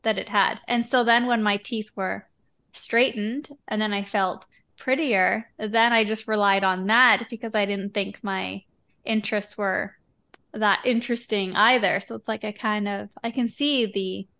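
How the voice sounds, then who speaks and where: Casting: female podcast host with a mid-range voice, talking candidly and informally in a relaxed conversation. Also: medium pitch, measured pace, gravelly. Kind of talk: casual conversational interview.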